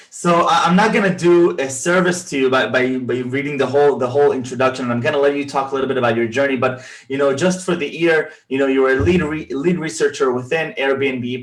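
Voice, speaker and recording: A man's voice: mid-range voice, fast talker, hardly any pauses. A man hosting an interview over a video call, enthusiastic and often restarting his words as he asks questions. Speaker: podcast host. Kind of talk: casual interview talk.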